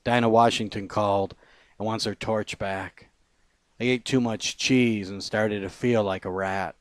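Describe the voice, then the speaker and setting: A man with a fairly low voice gives a spoken-word poetry reading. He phrases deliberately, pausing between short lines. The sound is dry, with very little room around the voice. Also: steady tone, no room sound. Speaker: poet.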